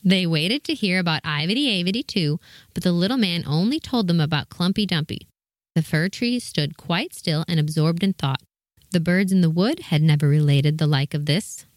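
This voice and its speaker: A woman's voice: on the low side, expressive, moderate pace, no room sound. A woman giving scripted narration of a children's storybook tale.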